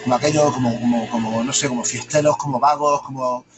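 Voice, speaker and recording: A man with a light Andalusian accent, a guest talking at length in a rapid, discursive way and tagging on questions. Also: mid-range voice, steady tone, over loud music. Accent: light Andalusian Spanish. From Andalusia, Spain. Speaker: guest, publisher and author. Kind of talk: conversational interview answers.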